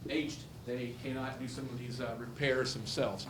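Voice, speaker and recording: A man presiding over a council meeting, speaking in a folksy, halting way with frequent fillers. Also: medium pitch, level tone. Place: council chamber. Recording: echoey room, background noise.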